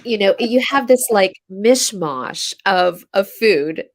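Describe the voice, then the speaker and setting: A woman's voice: mid-range voice, lively intonation, takes it slowly. An American woman with a stuffy nose from a cold, chatting as an interview guest and telling a long story full of fillers and restarts. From USA. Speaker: podcast guest, coach.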